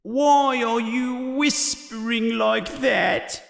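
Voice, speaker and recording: A narrator voicing a mournful, self-pitying ghost girl in a storybook, with slow, drawn-out, plaintive speech. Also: high-pitched, sing-song, vocal fry, echoey room, in a large hall, faint music behind, pitched above the performer's own voice, exaggerated voice. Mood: sad, hurt. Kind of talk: storybook character voice.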